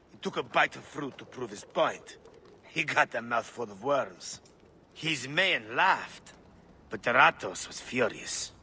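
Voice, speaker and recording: An actor playing a bitter rebel farmer's son bent on revenge in a video game, telling things grimly. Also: male voice, mid-range voice, bright, forceful tone, slight background noise. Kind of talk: video game dramatic acting.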